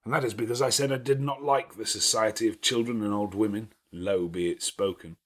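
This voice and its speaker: A man voicing a gruff, commanding, teasing middle-aged gentleman with elaborate, sardonic phrasing in an audiobook. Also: on the low side, steady tone, fairly quick. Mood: sardonic, challenging. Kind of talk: dramatic audiobook character dialogue.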